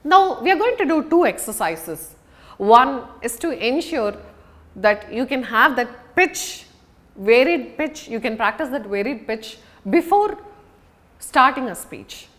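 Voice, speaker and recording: A woman giving an instructional lesson as a public speaking coach, talking in a deliberate, emphatic way with pauses for stress. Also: a little high, big pitch swings, a bit bright.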